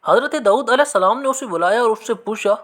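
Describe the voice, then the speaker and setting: A man narrating scripted religious moral stories in an expressive, measured storytelling manner. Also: extremely high pitch.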